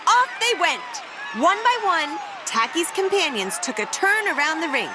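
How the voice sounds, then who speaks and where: A woman reading a children's picture book aloud, with expressive, playful and dramatic emphasis on the words. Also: very high voice, moderate pace, a crowd in the background, noisy background. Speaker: read-aloud storyteller.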